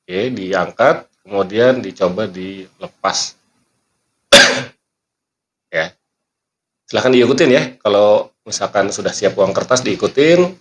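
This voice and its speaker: A man hosting a motivational podcast, teaching earnestly and quoting scripture and sayings, often clearing his throat while he talks because he is not fully well. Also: fairly low pitch, expressive, slow pace, pauses often, a bit bright. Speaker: magician and motivational speaker. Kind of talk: motivational podcast talk with a magic trick demo.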